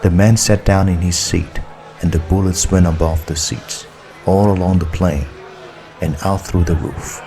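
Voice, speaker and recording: A man narrating a story as a scripted audiobook, in a measured, deliberate way. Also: sits low, fairly even intonation, dark tone, faint music behind.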